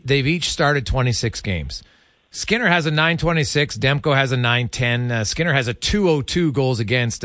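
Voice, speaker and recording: Bright, forceful male sports radio host. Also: mid-range voice, no echo. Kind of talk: sports interview, conversational.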